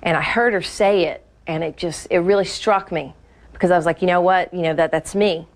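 A woman with a slightly low voice gives personal testimony in a news interview. She speaks conversationally, as if recounting her own experience. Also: fairly even intonation, brisk.

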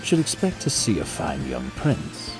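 A man's voice: rumbling low, wildly swooping pitch, a little slow, over music, exaggerated voice. A man playing a composed young royal advisor in a fan audio drama, formal, precise and drily wry.

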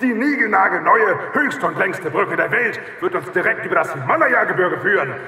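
Guy doing a pompous captain speech, high bright voice, big echoey hall pa. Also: animated, normal speed, full character voice. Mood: ceremonious. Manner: pompous, formal. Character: proud, ceremonious male train captain giving a speech. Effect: hall loudspeakers. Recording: echoey hall reverb, distant PA sound.

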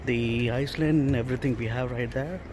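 A man giving casual, halting walking tour commentary as a travel vlogger, in simple phrases. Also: medium pitch, steady tone, noisy background. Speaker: travel vlogger guiding a town walk. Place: outdoors on town centre streets.

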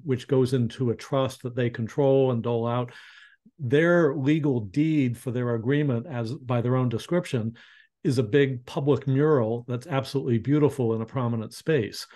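A man's voice: medium pitch, dark tone. A man in his fifties speaking as a panelist on a video call from a home study, reflective and searching for words with frequent restarts. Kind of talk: thoughtful discussion on a video call. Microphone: video call webcam.

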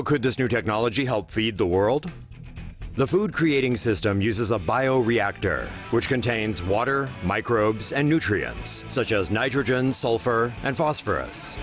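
A man reading a scripted news voice-over in a lively, wry manner. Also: fairly low pitch. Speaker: news narrator.

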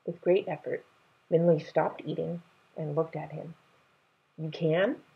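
A young adult woman reads a children's novel aloud expressively, with a husky voice, indoors at home. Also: sits low, little pitch movement, moderate pace, phone-quality sound. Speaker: read-aloud storyteller.

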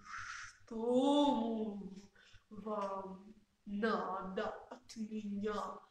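A woman voicing a reluctant, complaining cow with drawn-out moos in an amateur puppet play. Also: medium pitch, fairly even intonation, a little mellow, slight background noise. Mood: aloof, unhelpful. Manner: drawn-out, mooing. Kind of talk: amateur puppet theatre acting. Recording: very echoey room, distant mic.

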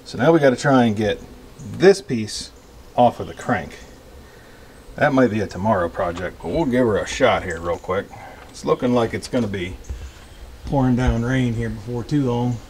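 A man's voice: medium pitch, moderate pace, slight background noise. Plainspoken man narrating truck repairs. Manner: relaxed, plainspoken, explaining as he works. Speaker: car repair channel host. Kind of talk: casual DIY repair narration. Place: garage and driveway beside a truck.

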